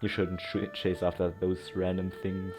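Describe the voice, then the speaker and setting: A man's voice: low voice, monotone, normal speed, over music. A man giving casual commentary as a let's play streamer, in a sarcastic, mocking and giggly way, with plenty of swearing.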